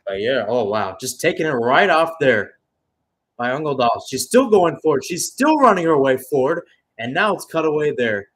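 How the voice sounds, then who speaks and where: A man doing live radio-style play-by-play football commentary, rising with excitement on chances and settling calmer between plays. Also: a little high, normal speed.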